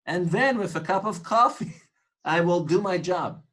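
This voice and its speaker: A man's voice: sits high, expressive, a little slow, a bit bright. Man lecturing, earnest and reflective. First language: Hebrew. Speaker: tour guide instructor. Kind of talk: informal lecture on guiding.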